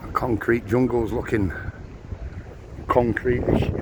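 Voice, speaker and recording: An older man rambles cheerfully as he walks, pointing things out and poking fun at himself. His voice sits at an ordinary middle pitch but sounds a touch squeaky and strained. Clear outdoor street noise runs under him. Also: fairly even intonation, slow. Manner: chatty, rambling, self-mocking. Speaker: walking vlogger. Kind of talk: casual walking tour commentary. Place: outdoors on busy town streets. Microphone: handheld camera on a gimbal.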